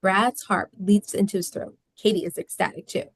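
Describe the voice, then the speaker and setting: A woman's voice: mid-range voice, fairly even intonation, moderate pace, breathy edge. A woman reading scripted stage directions as narrator of a screenplay table read.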